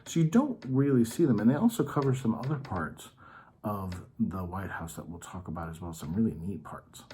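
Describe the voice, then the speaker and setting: A man's voice: fairly low pitch, expressive, normal speed, mellow, a little hiss or hum. A man hosting a children's story time, patiently explaining things in simple terms in an informal, educational read-aloud style.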